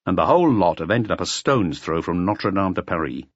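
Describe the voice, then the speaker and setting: A gruff middle-aged police inspector acted by a man in a crime audiobook, brooding and worldly. He explains emphatically, his pitch moving widely, in a very dry, slightly dull recording. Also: expressive, fairly quick, no room sound. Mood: serious, emphatic.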